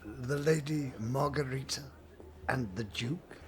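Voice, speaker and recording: An actor in an audio drama playing a wry, weary old friend who speaks in a hesitant, confiding and gently probing way. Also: male voice, mid-range voice, slow pace, lightly acted. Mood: sly, confiding.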